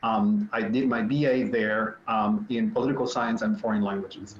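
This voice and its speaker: A man in his fifties from Puerto Rico, a native Spanish speaker with a Puerto Rican accent, telling his career story at a relaxed pace with frequent ums. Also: on the low side, moderate pace, a little hiss or hum. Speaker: panelist. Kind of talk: virtual panel talk. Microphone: video call mic. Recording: roomy, dull-toned home setup.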